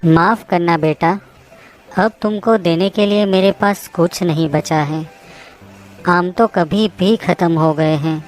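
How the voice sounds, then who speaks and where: A woman voicing a gentle, self-sacrificing mango tree in a children's cartoon story, affectionate and apologetic. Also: on the low side, takes it slowly, soft background music. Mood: sorrowful, apologetic.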